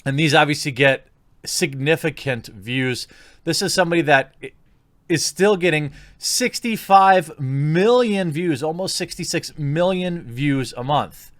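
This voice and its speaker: A man's voice: a little high, unhurried, fairly bright tone, no echo. A man giving casual, opinionated online commentary in a critical, sarcastic manner, recorded on a close mic. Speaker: YouTube commentator.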